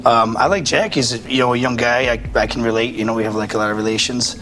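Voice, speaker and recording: A man's voice: medium pitch, fairly even intonation, fast talker, bright, forceful tone. A young man chatting casually and hesitantly as a game show panelist. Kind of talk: casual chat. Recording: clear background ambience noise.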